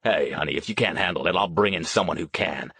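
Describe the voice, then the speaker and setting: A man playing a bullying, foul-mouthed TV producer in an audiobook, gruff, blustering and sarcastic. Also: sits low, fast talker, a bit bright, no echo, voice pushed down, full character voice. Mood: angry.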